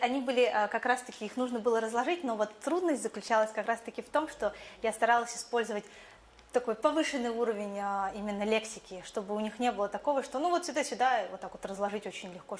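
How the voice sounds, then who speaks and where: A woman's voice: on the high side, a little hiss or hum. A young woman whose first language is Buryat, a teacher answering jury questions thoughtfully with fillers and restarts. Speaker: teacher competition contestant.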